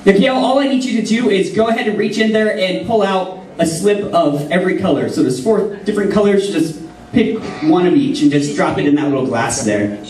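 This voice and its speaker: A man's voice: high-pitched, a little fast, darkish tone, a little hiss or hum. A man performing a joking storybook routine on stage for a live audience, chatting and laughing between lines. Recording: roomy hall sound from far off.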